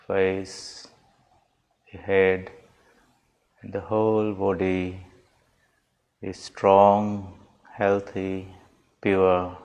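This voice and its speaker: A man leading a guided meditation as a spiritual teacher, giving calm, measured instructions in deliberate phrases. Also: low-pitched.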